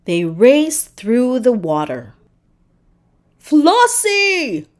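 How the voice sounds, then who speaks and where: A woman reading a children's storybook aloud as narrator, with dramatic, deliberate phrasing. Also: mid-range voice, very animated.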